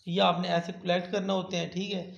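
Male host, tutorial voice-over, high and gravelly, echoey lo-fi sound. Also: little pitch movement, brisk. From Pakistan. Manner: repeats "theek hai" often, turns persuasive and emphatic toward the end. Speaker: channel host. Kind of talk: screen-recorded tutorial talk.

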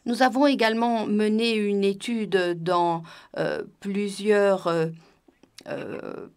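A woman working as a conference interpreter, her voice sitting at an ordinary middle pitch. She speaks slowly and hesitantly, filling gaps with drawn-out pauses as she renders a webinar talk. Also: fairly even intonation, smooth. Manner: hesitant, frequent filler pauses.

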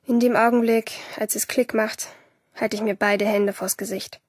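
An actress playing a lonely, sardonic teenage schoolgirl who narrates her day in a radio drama, in a breathy voice. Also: medium pitch, flat delivery, normal speed, a little mellow, no echo, clean studio sound, lightly acted. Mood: sullen, sarcastic.

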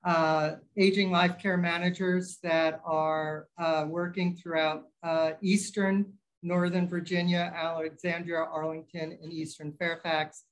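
A woman's voice: fairly low pitch, little pitch movement, measured pace, hardly any pauses, poor audio. A chatty woman introduces herself to a room of colleagues. She talks freely and laughs at her own slips, heard through a camera across the room. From New York. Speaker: care manager. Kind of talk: self-introduction at a meeting. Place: senior living common room.

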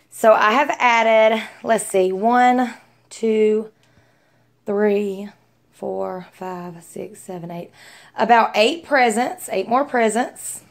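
A young woman with a Southern American accent talks in a fairly high voice. She chats casually, in the manner of a home vlogger filming herself on a phone. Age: young adult. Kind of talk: casual chatty vlog. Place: small home rooms and inside a car. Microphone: phone at arm's length.

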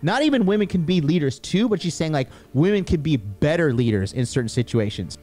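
A man in a played clip, talking in halting, restarting phrases. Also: a little high, big pitch swings, warm tone, soft background music.

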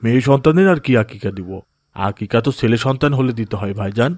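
A man's voice: mid-range voice, animated, fast talker, a little mellow, no room sound, lightly acted. A man voicing an adult father in a cartoon, dejected and easily swayed, with a hesitant, earnest manner. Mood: downcast. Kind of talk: cartoon voice acting in moral story.

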